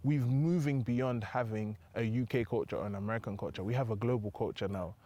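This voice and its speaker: A man's voice: fairly low pitch, brisk. Chatty young British man. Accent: British English. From England. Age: young adult. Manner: relaxed, chatty, uses filler phrases like "do you know what I mean". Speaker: guest. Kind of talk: casual conversational interview.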